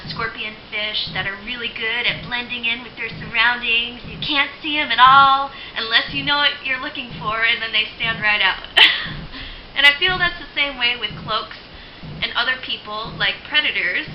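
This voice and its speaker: A young woman with a fairly high voice talking casually to camera, chatty and full of ums, likes and laughs. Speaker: video blogger. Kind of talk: casual spoken reflection. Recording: lo-fi roomy home recording with hiss.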